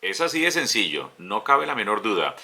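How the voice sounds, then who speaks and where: A man's voice: fairly low pitch, very animated, moderate pace, pushed and bright. A man hosting a casual interview, affirming his guests and promoting his channel. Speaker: host.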